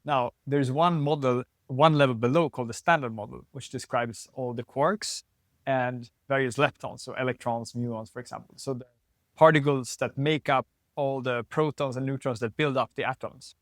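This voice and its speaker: A man in his twenties from northern Sweden, a physics PhD student, calmly explaining his research in an interview. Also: medium pitch, normal speed, dry recording, clean studio sound. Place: lab building at a physics facility.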